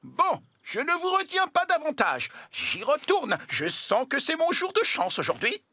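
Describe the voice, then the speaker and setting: A woman's voice: high voice, huge pitch swings, a little slow, heavy background noise, exaggerated voice. A woman playing a self-assured, boastful, chatty treasure hunter in a French children's cartoon. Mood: confident. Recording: music behind the voice.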